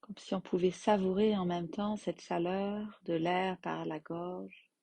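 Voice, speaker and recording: A woman guiding a yoga nidra relaxation as its teacher, in gentle, unhurried phrases with long pauses. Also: lowish voice, fairly even intonation, vocal fry, no echo. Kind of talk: guided meditation, slow soothing instruction.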